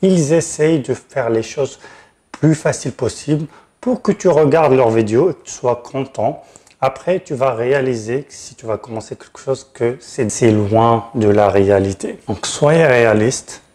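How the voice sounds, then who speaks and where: A man hosts an explanatory advice video at a medium pitch. He addresses the listener directly and frankly, leaning hard on the words that matter. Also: normal speed, a little mellow. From Tunisia. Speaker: channel host, regulated immigration consultant.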